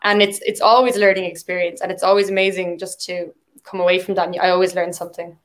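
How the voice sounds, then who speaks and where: A woman's voice: fairly low pitch, level tone, fast. An Irish woman, a poet, chatting in a casual interview and filling her talk with "like" and "um". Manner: chatty, with frequent "like" and "um" fillers. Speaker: poet and guest.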